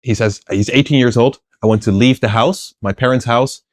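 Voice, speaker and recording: A man's voice: mid-range voice, normal speed, no echo, studio quality. A middle-aged man, explaining at length as an interview guest, thoughtful with frequent fillers and restarts. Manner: thoughtful, many fillers and restarts, laughs lightly. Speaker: guest, plant philosopher. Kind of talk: conversational interview answers.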